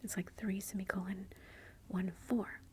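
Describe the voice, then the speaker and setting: A woman's voice: mid-range voice, level tone, unhurried, a little hiss or hum. A woman, whispering a rambling, amused story in ASMR style. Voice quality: soft whisper. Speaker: ASMR vlogger.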